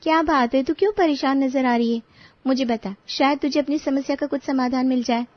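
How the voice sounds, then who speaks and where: A woman voicing an outspoken adult friend in an animated story, talking in a frank, persuasive way. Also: sits high, fairly quick, dry recording, lightly acted. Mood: concerned. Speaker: voice actor. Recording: dull, dry studio recording.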